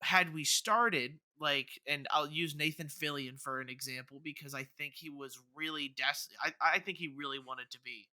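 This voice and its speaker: Bright, crisp-voiced man chatting on a podcast. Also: on the high side, normal speed, few pauses. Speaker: podcast co-host. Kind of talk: casual podcast discussion.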